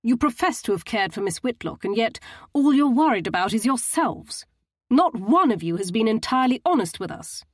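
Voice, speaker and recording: A woman's voice: medium pitch, fairly quick, dry recording, clean studio sound, lightly acted. A woman voicing a stern man who questions people severely and with disdain.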